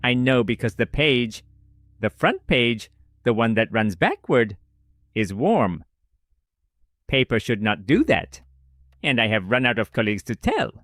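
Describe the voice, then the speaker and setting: A man's voice: medium pitch, very animated, a little slow, long pauses, a bit bright, dry recording, clean studio sound, faint music behind. Man voicing a clipped, wildly swinging paranoid note-keeper. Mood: formal, uneasy. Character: lone male note-keeper, paranoid, dryly formal. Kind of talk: audio fiction, dictated file notes.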